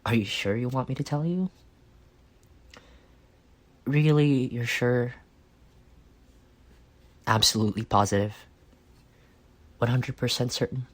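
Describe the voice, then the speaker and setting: A man acting a reserved, awkward and earnest best friend in an intimate roleplay, speaking softly and haltingly. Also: medium pitch. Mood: calm, hesitant. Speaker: voice actor.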